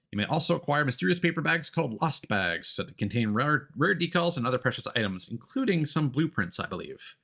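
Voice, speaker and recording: A man's voice: mid-range voice, creaky, fairly bright tone. A man giving live let's play commentary as a streamer, reading game text aloud and adding hesitant explanatory asides.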